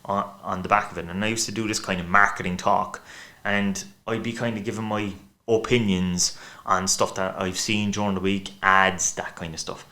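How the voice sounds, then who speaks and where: A man's voice: deep voice, steady tone, normal speed, bright, forceful tone. A man with a thick Cork accent, a podcast guest chatting casually and rambling at length. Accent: Cork Irish. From Ireland. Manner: chatty, rambling, acts out quoted voices. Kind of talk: casual chat.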